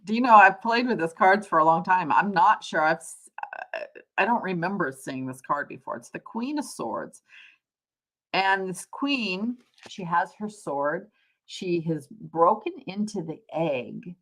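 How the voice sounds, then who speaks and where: A woman's voice: on the low side, animated, a little slow, a bit bright. A woman hosting a casual live tarot reading, talking to viewers in a conversational, reflective way and rephrasing as she explains. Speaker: tarot reader, livestream host.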